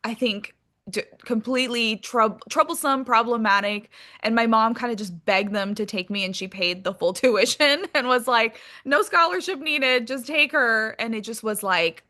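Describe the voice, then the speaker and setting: A woman from Hong Kong and England, an actress being interviewed, telling her stories in an animated, informal, self-deprecating way. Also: a little high, moderate pace. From Hong Kong and England, born in US. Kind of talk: casual interview chat.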